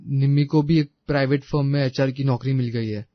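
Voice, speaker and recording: A man's voice: mid-range voice, steady tone, a little fast, no room sound, highs cut off, close to an everyday voice. A man playing an earnest, devoted young man in love in a story. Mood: respectful, nervous.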